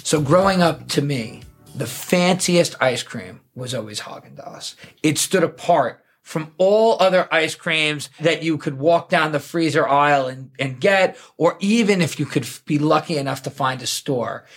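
Enthusiastic husky-voiced male podcast host. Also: a little high, unhurried, faint music behind. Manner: enthusiastic, storytelling. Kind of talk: casual podcast chat.

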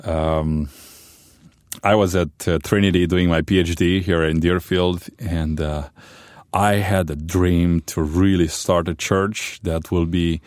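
A middle-aged man from Romania with a Romanian accent, a pastor telling his story loudly and with animation in a radio interview. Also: deep voice, steady tone, takes it slowly, no room sound, studio quality. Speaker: pastor, guest.

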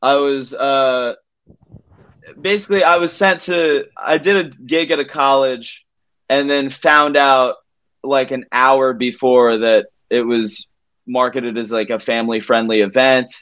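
A man telling a story in casual conversation as a comedian guest, halting and restarting his sentences as he goes. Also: mid-range voice, steady tone, fairly bright tone, dull audio. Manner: rambling, halting, self-interrupting.

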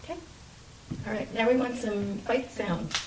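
A woman's voice: fairly high pitch, a little slow, far from the mic, crowd noise. A woman giving casual, practical instructions to performers as she runs a recording session. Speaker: director running a recording session. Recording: noisy studio session, off mic.

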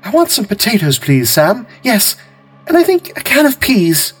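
Bright, falsely cheerful young wife, put on by a male narrator, husky and high. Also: huge pitch swings, moderate pace, soft background music, exaggerated voice. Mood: forced cheer.

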